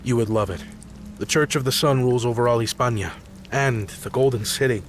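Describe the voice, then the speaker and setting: An actor plays a devout, stoic captain, the hero of a video game. He speaks in a measured, formal way at a lowish middle pitch, with music clearly underneath. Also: natural voice. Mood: solemn, awed. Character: stoic, devout male captain from a sunlit empire. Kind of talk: video game protagonist acting.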